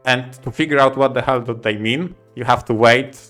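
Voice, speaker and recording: A man in casual podcast chat as a co-host, speaking haltingly and often repeating words as he forms his thoughts. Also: medium pitch, steady tone, fairly bright tone, soft background music.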